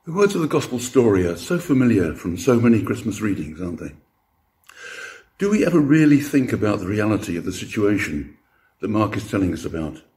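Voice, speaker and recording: Mellow older man preaching, very animated pitch. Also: fairly quick. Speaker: lay preacher. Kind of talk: scripture reading and sermon. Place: church interior.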